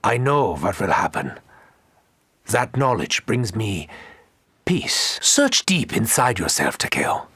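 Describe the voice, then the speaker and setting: A man's voice: on the low side, very animated, slow pace, fairly bright tone, husky, no echo, exaggerated voice. A male actor playing a sinister, scheming German doctor in a video game, with precise, formal and theatrical delivery. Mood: grave, confiding.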